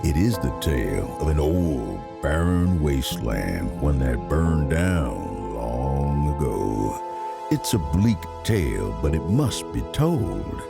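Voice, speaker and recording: Grim grizzled western storyteller: extremely low, gravelly, slow dramatic narration over music. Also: male voice, very animated, exaggerated voice. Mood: ominous, inviting.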